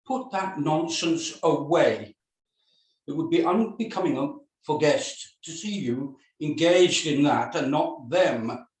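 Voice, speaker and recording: Man playing a slow, pompous hotel manager. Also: on the high side, animated. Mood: stern, pompous. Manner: formal, pompous, deliberate speechmaking. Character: stern, self-important male hotel manager. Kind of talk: scripted screenplay read-through. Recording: roomy, echoing voice recording.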